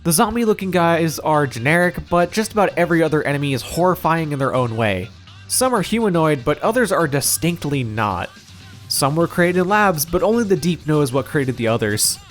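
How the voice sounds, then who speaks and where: A man narrating a scripted video game review in a wry, opinionated, casually profane manner, as the reviewer himself. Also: fairly high pitch. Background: music.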